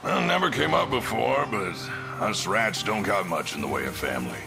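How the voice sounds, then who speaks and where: A gruff bandit chief from a fantasy game, very low and full of gravel. His delivery swings up and down in a folksy, fatherly drawl, with music playing clearly behind him. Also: sing-song, normal speed. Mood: frank, easygoing. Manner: folksy, rough colloquial speech. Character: gruff male bandit captain, fatherly leader of thieves. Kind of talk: scripted video game voice acting.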